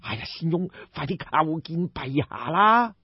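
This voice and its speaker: Man acting a deferential courtier, slow and husky. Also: fairly high pitch, very animated, exaggerated voice. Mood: respectful. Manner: respectful, measured, earnest. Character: deferential, smooth-tongued male courtier of ancient China. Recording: dull, roomy audiobook recording.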